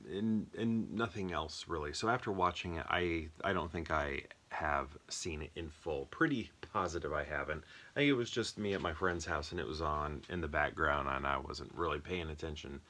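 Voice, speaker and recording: A man giving a casual, off-the-cuff film review, rambling and often pausing to search for words. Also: low voice, a bit bright.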